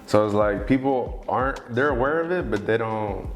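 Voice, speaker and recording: A man's voice: mid-range voice, expressive, normal speed, soft background music. A young man from Oakland, talking casually as an interview guest and often checking in with the listener. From Oakland, California. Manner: laid-back, often asks "you get what I'm saying?".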